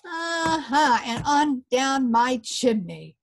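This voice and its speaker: A woman's voice: high-pitched, very animated, slow, voice pushed up, heavily acted. A woman voicing a tiny male fairy in a storybook, pleading and politely complaining. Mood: patient.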